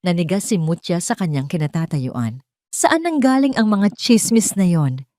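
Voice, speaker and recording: A woman storyteller delivers scripted, dramatic narration. Her voice is fairly low and soft-toned, recorded very dry with no room around it. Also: very animated, normal speed, no room sound.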